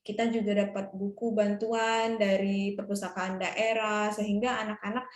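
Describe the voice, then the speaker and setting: Polite, deferential woman teacher, mid-pitched, recorded in an echoey room. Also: little pitch movement, moderate pace. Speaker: English teacher. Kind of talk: coaching conversation.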